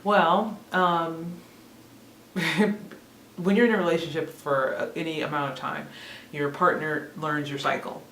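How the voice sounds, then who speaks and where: A woman's voice: low-pitched, steady tone, unhurried, a bit bright, distant mic. A woman in her thirties talks casually and rambles, often laughing at herself. She sits a little way from the mic in an echoey room. A faint hiss runs under her voice. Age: 30s. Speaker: vlogger. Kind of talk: casual chat to camera.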